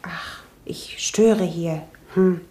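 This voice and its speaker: An actress playing a cheeky, nosy, artless housekeeper in a 1970s radio crime drama, put-upon and unsophisticated. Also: female voice, on the low side, fairly even intonation, extremely slow, dark tone, roomy sound. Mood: sulky.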